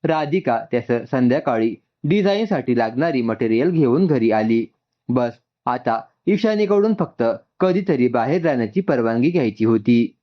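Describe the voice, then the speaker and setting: A man narrating a story in a steady, even reading voice. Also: medium pitch, moderate pace, lo-fi sound.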